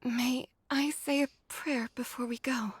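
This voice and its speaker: Slow, high-voiced, solemn young holy soldier. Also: female voice, fairly even intonation, breathy edge, no room sound, full character voice. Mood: solemn, wistful. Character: solemn, gentle young religious female soldier mourning the dead. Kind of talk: dramatic video game voice acting.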